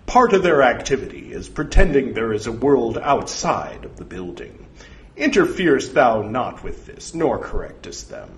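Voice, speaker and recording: A man's voice: mid-range voice, very animated, moderate pace, a bit bright. A man voicing a scheming sergeant who poses as a divine voice, with ominous, pompous, archaic speech in a story. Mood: instructing, grave.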